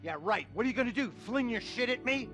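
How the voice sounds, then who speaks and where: A man's voice: extremely high pitch, animated, fast talker, over music. A man playing a greedy, grandiose, megalomaniac middle-aged finance tycoon in a satirical video game film. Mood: gloating. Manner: pompous, grandiose, villainous.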